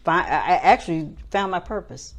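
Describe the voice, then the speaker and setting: A woman's voice: lowish voice, animated, moderate pace, soft background music. A woman in her fifties hosting a casual interview before a studio audience, often murmuring agreement. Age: 50s. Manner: affirming, frequent backchannel responses. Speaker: host.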